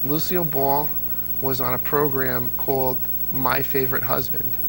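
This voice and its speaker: Gravelly, eager old-time radio fan. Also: male voice, medium pitch, level tone, moderate pace, background noise. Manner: enthusiastic, anecdotal storyteller with many fillers. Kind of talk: casual interview.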